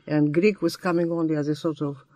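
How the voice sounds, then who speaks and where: A woman of around ninety, recalling her past in a halting way, often repeating "yeah". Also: low voice, fairly even intonation, warm tone, no echo. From Romania. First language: Romanian. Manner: halting, many repeated yeahs. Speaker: interviewed poet. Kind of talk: reflective conversation.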